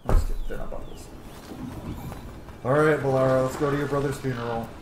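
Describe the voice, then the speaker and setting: Male game streamer, chatty and off-the-cuff, thinking aloud, mid pitch, roomy echo with faint noise. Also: steady tone, fast talker. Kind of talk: casual gameplay commentary.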